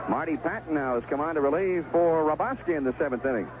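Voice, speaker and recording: A man giving live 1970s radio play-by-play of a baseball game, describing each pitch in short, clipped phrases. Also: fast talker. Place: outdoor ballpark broadcast booth. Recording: noisy, tinny narrowband vintage radio broadcast.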